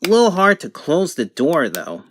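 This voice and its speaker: A man giving an unscripted product demonstration in a halting, matter-of-fact way. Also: a little high, huge pitch swings, slight background noise. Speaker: product reviewer.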